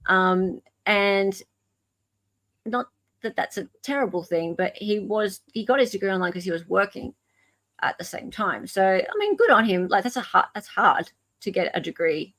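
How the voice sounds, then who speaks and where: A woman with an Australian accent hosting a live show with casual unscripted commentary, thinking aloud in a halting way. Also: mid-range voice, a bit bright.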